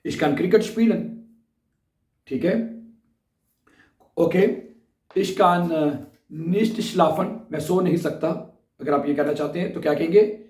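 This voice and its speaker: A hoarse man with a sore throat gives a casual beginner language lesson, talking in a slightly raised register. He keeps pausing to check that his viewers are following along. His voice sits back from the mic in a roomy, echoing space. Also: fairly high pitch, a little mellow, recorded from a distance. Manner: chatty, repeats "ठीक है?" to check in with viewers. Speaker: German teacher.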